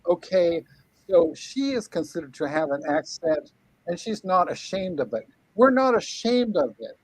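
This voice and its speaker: High-voiced man in an angry, profane rant. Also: very animated, moderate pace, dry recording, poor audio. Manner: angry, profane, repeats himself, stumbling restarts. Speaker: guest writer.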